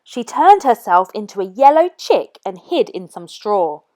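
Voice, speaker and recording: A woman's voice: medium pitch, sing-song, a little slow, mellow. A woman presenting storytime, reading aloud expressively for children.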